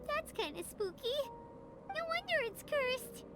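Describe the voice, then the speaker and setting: A woman voicing a tiny, excitable, curious childlike fairy companion in an anime-style video game, full of squeaky exclamations and wide-eyed questions. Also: measured pace, heavily acted. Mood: curious, uneasy. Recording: studio voice over game music. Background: background music.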